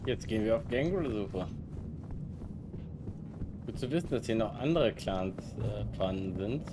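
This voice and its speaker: A man's voice: on the low side, expressive, moderate pace, noisy background. A native German man giving casual live commentary as he streams a video game.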